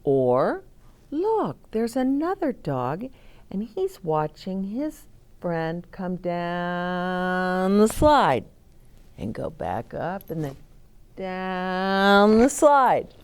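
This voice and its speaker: A woman hosts a children's story time in a slightly low voice that swings widely up and down. She takes her time, speaking slowly and expressively as she reads aloud. She explains things in simple words and puts questions to her young listeners. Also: sing-song, clear, resonant tone.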